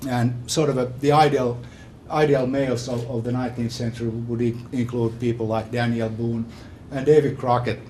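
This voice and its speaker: A man with a strong Finnish accent giving an informal academic lecture, haltingly, often repeating words and phrases. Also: medium pitch, fairly even intonation, a little mellow, slight background noise. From Finland. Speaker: visiting scholar giving a lecture.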